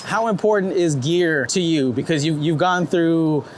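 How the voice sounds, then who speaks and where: A male host interviews a guest on a city street, his questions relaxed and curious. His voice sits a little high for a man. Traffic and street noise come through clearly behind him. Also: measured pace. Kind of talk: casual walking interview.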